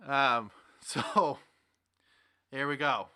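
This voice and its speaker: A young man hosting a podcast with casual, animated, rambling banter about movies and games. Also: mid-range voice, drawn-out words. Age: young adult. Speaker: podcast host.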